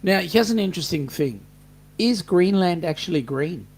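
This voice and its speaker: Australian male co-host, slightly high voice, chatty, reads viewer comments. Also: expressive, normal speed, vocal fry, darkish tone, husky. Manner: chatty, reads out comments with many ums and restarts. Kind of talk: casual live chat reading viewer comments.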